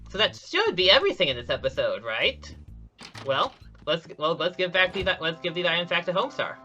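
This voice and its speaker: A man's voice: high-pitched, big pitch swings, brisk, sharp tone, dull audio, soft background music. A man giving live commentary on a speedrun, explaining each step and often correcting himself mid-sentence. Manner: explanatory, frequent self-corrections and restarts.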